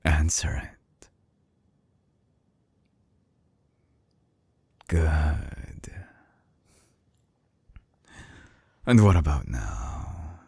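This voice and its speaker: A man playing an obsessive, coolly clinical mad scientist in an intimate binaural audio roleplay, speaking slowly in a hushed, breathy near-whisper with long pauses. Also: rumbling low, big pitch swings, dry recording, full character voice. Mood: teasing, commanding. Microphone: close binaural microphone.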